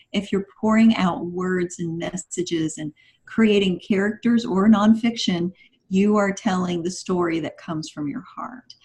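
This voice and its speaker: A woman's voice: mid-range voice, darkish tone. A woman speaking conversationally as a writer guest on a podcast, explaining things earnestly. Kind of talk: conversational discussion.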